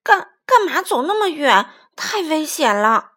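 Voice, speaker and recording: Woman acting a big male dinosaur, gruff, soft-hearted, blustering, stammering, slow, wide pitch swings, storybook. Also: expressive, exaggerated voice. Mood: angry, alarmed.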